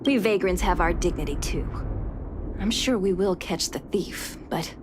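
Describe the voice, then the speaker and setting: A woman voicing an earnest, dutiful female miner in a video game, measured and polite. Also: lowish voice, noisy background, natural voice. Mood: earnest, explanatory.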